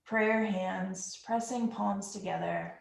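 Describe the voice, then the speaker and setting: A woman leads a yoga breathing practice in an unhurried, soothing way. Her voice sits at an ordinary middle pitch. The room around her has a noticeable echo. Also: creaky. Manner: slow, calm, soothing guidance. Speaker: yoga instructor. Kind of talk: guided yoga breathing instruction. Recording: echoey room.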